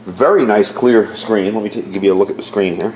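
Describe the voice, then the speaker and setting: Low-voiced man in an echoey room. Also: brisk, darkish tone. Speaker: product reviewer and ham radio hobbyist. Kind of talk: casual product review, demonstrating features. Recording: echoey room with faint background noise.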